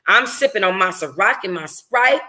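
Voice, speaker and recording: Book club host: woman, bright forceful voice, informal and sassy, reading like a casual livestream. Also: medium pitch, lively intonation, normal speed.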